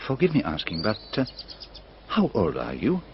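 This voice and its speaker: A man playing a courteous older gentleman detective in a radio drama, speaking formally and precisely with polite curiosity. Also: fairly low pitch, huge pitch swings, normal speed, gravelly, heavily acted. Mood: gentle, probing. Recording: dull lo-fi radio drama, noisy.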